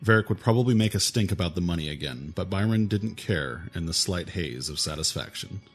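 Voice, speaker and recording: A man's voice: low voice, animated, brisk. A man narrating fantasy fiction as a scripted audiobook. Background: music.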